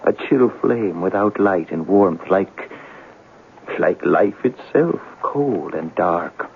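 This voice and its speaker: Deep, gravelly police sergeant. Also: male voice, expressive, slow pace, exaggerated voice. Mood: brooding, haunted. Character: brooding, plain-spoken police sergeant, respectful and softly poetic. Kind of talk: 1970s radio drama acting. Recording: tinny, noisy old radio broadcast.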